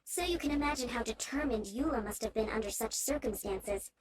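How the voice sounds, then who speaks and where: A woman voicing a gentle, earnest young townswoman with polite, measured speech in a video game. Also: a little high, a crowd in the background, lightly acted, low-quality recording. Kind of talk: scripted video game character acting.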